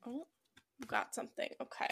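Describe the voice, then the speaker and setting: A woman's voice: a little fast, a bit bright, a little hiss or hum. A woman co-hosting a casual podcast and reading tarot, with a congested, nasal voice from being sick. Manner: chatty, self-deprecating, laughs often. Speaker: podcast co-host.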